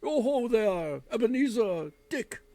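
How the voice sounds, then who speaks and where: A man's voice: very high-pitched, big pitch swings, unhurried, mellow, dry recording, pitched above the performer's own voice, full character voice. A man voicing a jovial old merchant who calls out heartily in a storybook reading.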